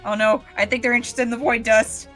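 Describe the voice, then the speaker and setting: A woman's voice: on the high side, flat delivery, quick pace, fairly bright tone, soft background music. A woman chatting casually as a co-player on a game livestream. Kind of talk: casual chat.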